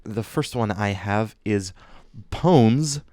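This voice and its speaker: A man's voice: on the low side, expressive, takes it slowly, dark tone, slight background noise. A man co-hosting a podcast, presenting his picks in easygoing, approving casual chat and often repeating himself. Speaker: podcast co-host presenting fan music.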